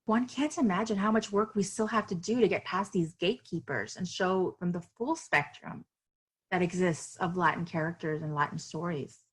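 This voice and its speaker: A woman's voice: on the low side, normal speed, slightly rough. Earnest woman author from Miami. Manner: earnest, reflective, with fillers and restarts. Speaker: author guest. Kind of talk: podcast interview.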